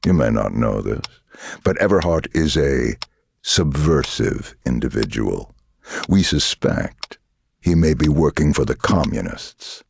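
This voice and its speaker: An actor playing a menacing, condescending male government interrogator in a dramatic video game, speaking deliberately. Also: animated, slight background noise, exaggerated voice. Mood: stern, accusing. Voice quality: deep, gravelly.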